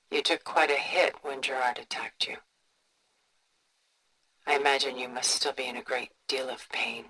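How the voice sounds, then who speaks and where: An actress playing a refined, cultured, coolly composed older woman in a video game, speaking in a poised, deliberate, articulate way. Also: sits low, pushed and bright, breathy edge, exaggerated voice, low-quality recording. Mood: cool concern. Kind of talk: scripted video game dialogue, art commentary.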